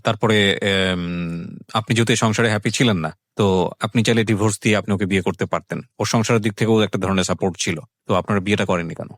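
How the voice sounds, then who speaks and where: A man's voice: fairly low pitch, fairly even intonation, fast, no echo, studio quality. A man with a Bangladeshi accent hosting a radio interview, commenting emphatically, repeating phrases for stress and mixing in English phrases. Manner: mixes English phrases into Bengali. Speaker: radio show host. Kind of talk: conversational interviewing with reflective social commentary. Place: radio studio.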